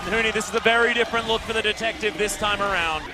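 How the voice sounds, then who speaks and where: A man doing live esports play-by-play as a commentator, excited and shouting at the big plays. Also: high-pitched, very fast. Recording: loud music and crowd behind voice. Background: music, cheering crowd.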